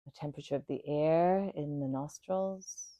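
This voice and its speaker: A woman guiding a meditation in a soothing, unhurried way, speaking in short phrases with pauses between them. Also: sits low, dark tone. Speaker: yoga nidra meditation guide. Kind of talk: guided meditation.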